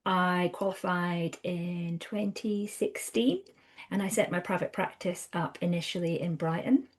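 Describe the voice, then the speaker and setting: Chatty Scottish woman, lower voice. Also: unhurried. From Scotland. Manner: chatty, animated, with restarts and acted-out quotes. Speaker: guest counsellor. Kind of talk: conversational podcast interview.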